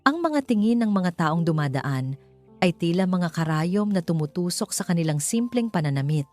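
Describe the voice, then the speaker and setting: A woman with a Filipino accent narrates a scripted romance story in a dramatic, measured way. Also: lowish voice, sing-song, a little mellow. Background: background music.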